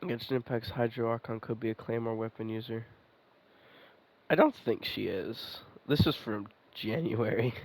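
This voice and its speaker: A man's voice: medium pitch, moderate pace, rough recording. A man doing casual, rambling gameplay commentary, thinking aloud and swearing now and then. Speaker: gaming streamer playing and commenting. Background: game music.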